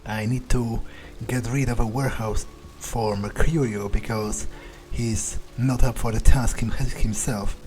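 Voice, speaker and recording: A man doing an in-character game playthrough, thinking aloud in a gruff, blunt, halting way with plenty of swearing. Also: medium pitch, steady tone, a little mellow, soft background music. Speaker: gamer playing in character.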